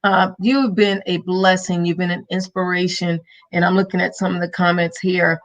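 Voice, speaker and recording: A woman's voice: lowish voice, level tone, moderate pace, lo-fi sound. A woman podcast host and minister giving a live-streamed motivational talk, emphatic and preachy, with a faith-filled rhythm.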